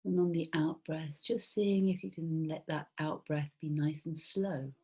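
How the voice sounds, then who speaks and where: Female meditation guide, low and breathy, soft and soothing, very unhurried delivery with long pauses. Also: steady tone. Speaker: mindfulness teacher. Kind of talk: guided body scan meditation.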